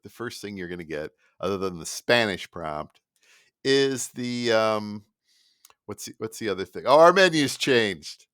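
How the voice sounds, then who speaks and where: A man's voice: medium pitch, no echo, clean studio sound. A middle-aged man with a Midwestern American accent, hosting a solo podcast and ranting in a rambling, sarcastic way, often cutting himself off and restarting. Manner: rambling, animated, self-interrupting, sarcastic. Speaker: podcast host. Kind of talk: solo rant.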